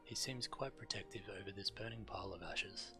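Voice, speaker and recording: A man's voice: fairly low pitch, a little fast, over music. A man who streams games, reading quest text aloud.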